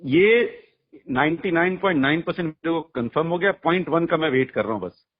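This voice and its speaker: A man's voice: fairly high pitch, lively intonation, a little fast. A man talking bluntly and emphatically in a heated phone conversation, arguing his case with rhetorical questions. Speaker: music artist's manager being interviewed. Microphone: phone call. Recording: muffled lo-fi phone call recording.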